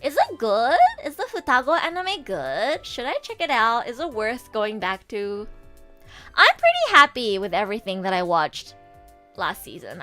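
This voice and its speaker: A woman streamer chatting casually with her viewers, animated and swearing freely, her throat a bit sore from a coming cold. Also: a little high. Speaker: VTuber streamer and voice actor. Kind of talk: casual livestream chat.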